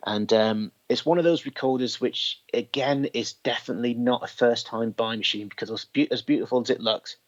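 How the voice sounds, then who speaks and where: A man's voice: medium pitch, fairly quick, few pauses. A man chatting as an interview guest, explaining technical details at length. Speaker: podcast guest, tape machine collector. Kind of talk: conversational interview. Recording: dull, lo-fi sound.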